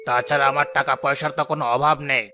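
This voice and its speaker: A man's voice: mid-range voice, level tone, rapid-fire, lightly acted. A man playing a bossy, miserly, rich older village chief in a cartoon, speaking in a commanding and condescending way. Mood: self-important. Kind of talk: Bengali cartoon voice acting.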